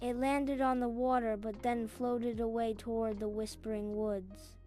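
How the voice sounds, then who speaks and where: A woman's voice: fairly high pitch, little pitch movement, a little slow, warm tone, soft background music, voice pushed up, lightly acted. A woman voicing a helpful pond frog in a children's bedtime story. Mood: calm, helpful. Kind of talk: children's storybook animal dialogue.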